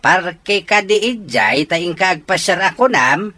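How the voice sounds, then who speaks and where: Curt evasive character, low bright forceful voice, ilocano accent, radio drama. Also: female voice, lively intonation, a little slow, no room sound, exaggerated voice. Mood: defensive.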